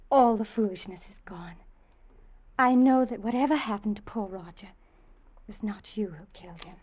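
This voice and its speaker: An actress playing a fragile, haunted, devoted wife in a halting, breathy 1940s radio melodrama style. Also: female voice, mid-range voice, warm tone, muffled recording. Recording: old 1940s radio broadcast.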